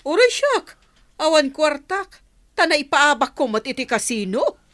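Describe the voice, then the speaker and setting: A woman acting in an Ilocano radio drama as a sharp-tongued, money-hungry village wife, feisty and full of complaint. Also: lowish voice, expressive, measured pace, dry recording. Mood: furious, complaining.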